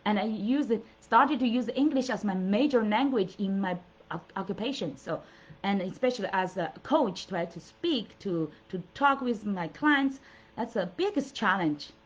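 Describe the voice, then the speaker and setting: A woman's voice: mid-range voice, animated, normal speed, slightly rough. A middle-aged woman from China, a native Mandarin speaker, giving an earnest, encouraging motivational talk as coach and host, with many fillers and restarts. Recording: dull lo-fi audio.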